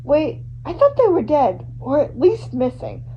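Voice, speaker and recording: Woman acting excitable, high-pitched young online fan. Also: unhurried, heavily acted. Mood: incredulous. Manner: exclamatory, slangy, dramatic. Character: excitable young social media fans, astonished gossips. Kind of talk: dramatised reading of social media posts. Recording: muffled, noisy amateur home recording.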